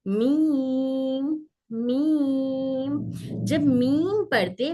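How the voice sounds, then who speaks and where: High-voiced woman teaching pronunciation. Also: fairly bright tone. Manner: repeats letters and phrases slowly to demonstrate; mixes in English words. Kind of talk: online class lesson.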